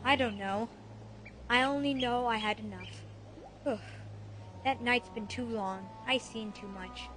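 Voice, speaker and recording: A woman's voice: on the high side, unhurried, long pauses, a little hiss or hum. A woman voicing an anxious, earnest young boy on a spooky adventure in an audio drama. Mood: weary, troubled. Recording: loud music behind the voice.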